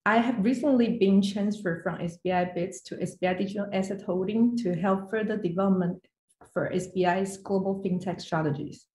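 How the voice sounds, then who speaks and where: A woman's voice: mid-range voice, moderate pace, a little mellow. Echoey woman presenting. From Taiwan. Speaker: finance executive, guest speaker. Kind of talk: prepared online presentation. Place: indoor room on video call. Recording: roomy, echoey online call audio.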